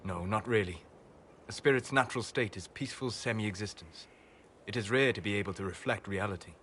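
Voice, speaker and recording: An actor playing a grave elven mage in a video game, protective and righteous, with measured, deliberate phrasing. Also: male voice, on the low side, a bit bright, a little hiss or hum, close to an everyday voice. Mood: quiet sorrow.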